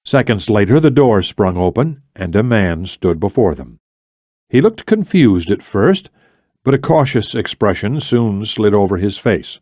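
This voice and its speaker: A man narrates a thriller audiobook from a script. His voice sits fairly low, and the recording is very dry. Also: sing-song, a little slow, warm tone, no room sound.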